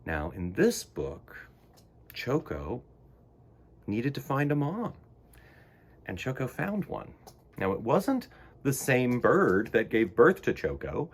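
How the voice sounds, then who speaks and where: Man, children's storytime host, very animated intonation, slightly husky, theatrical, earnest, direct address to young viewers. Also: mid-range voice. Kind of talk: expressive read-aloud and talk to young viewers.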